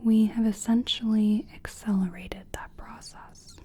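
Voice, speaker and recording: A woman giving a soothing, gentle ASMR personal attention roleplay in a breathy whisper, speaking deliberately. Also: mid-range voice, steady tone. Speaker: ASMR creator playing a soul-cleansing practitioner.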